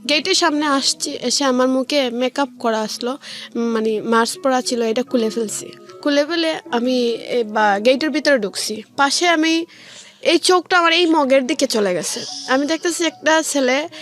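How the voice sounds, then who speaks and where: Female interview guest, Bangladeshi Bengali accent, high voice, halting colloquial storytelling, faint music underneath. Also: fairly bright tone. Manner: colloquial, halting, repeats phrases.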